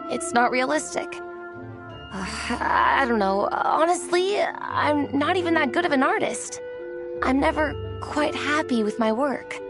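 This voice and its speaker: A woman voicing a sincere, self-doubting young artist in a video game, reflective in manner. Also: high voice.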